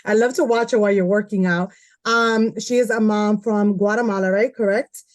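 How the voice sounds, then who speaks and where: A woman's voice: medium pitch, steady tone, moderate pace. A woman hosting a podcast, introducing her guest in a chatty, giggly way with plenty of filler words. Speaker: podcast host. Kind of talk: casual podcast chat.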